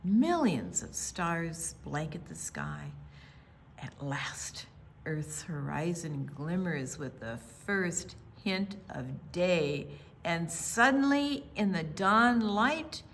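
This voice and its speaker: Slow, expressive American woman reading a storybook. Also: mid-range voice, a little hiss or hum. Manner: dramatic pauses, hushed asides, emphatic delivery for young children. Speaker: children's storytime reader at a library. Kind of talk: read-aloud picture book storytelling.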